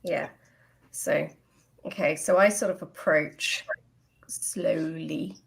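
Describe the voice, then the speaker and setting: A woman's voice: sits low, slow, creaky, husky, low-quality recording. A woman playing a tabletop role-playing game, chatting casually and speaking for her character.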